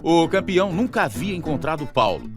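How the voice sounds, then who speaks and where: A man's voice: fairly high pitch, big pitch swings, a little fast, over music. A Brazilian man narrating and announcing a radio drama from a script.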